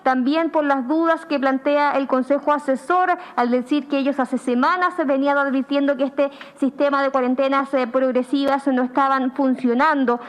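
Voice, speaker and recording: A woman's voice: sits high, steady tone, moderate pace, hardly any pauses. A woman journalist asking a probing question at a press conference, with some hesitation.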